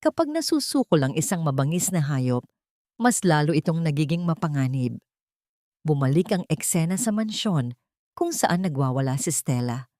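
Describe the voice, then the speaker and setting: A woman narrator with a Filipino accent delivers a scripted, dramatic story. Her voice sits a little low for a woman. The sound is dry and close, with little room around it. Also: lively intonation, moderate pace, mellow, dry recording.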